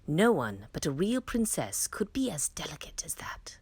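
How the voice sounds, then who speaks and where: A woman narrates a children's fairy tale with very animated intonation. Her voice is husky and breathy, recorded close and dry, and she leans dramatically into key words. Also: low-pitched, moderate pace, no echo. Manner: dramatic storytelling with emphatic swells. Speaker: storytime reader. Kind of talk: expressive scripted fairy tale read-aloud.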